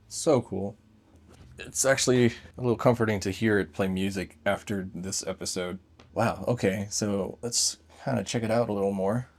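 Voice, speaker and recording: A man's voice: on the low side, darkish tone. A man giving a casual, unscripted hands-on gadget review, thinking aloud in short fragments.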